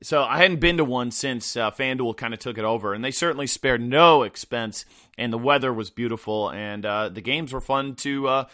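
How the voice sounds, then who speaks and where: A man hosting a podcast in casual chat, his voice hoarse and rough, rambling with many fillers. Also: medium pitch, normal speed, bright, forceful tone. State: hoarse after a weekend of travel and partying. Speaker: podcast host.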